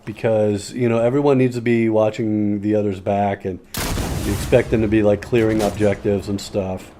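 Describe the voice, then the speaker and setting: A man's voice: fairly low pitch, little pitch movement, normal speed, dark tone, slight background noise. A man streaming a shooter game, rambling in casual commentary with plenty of swearing and answering his chat.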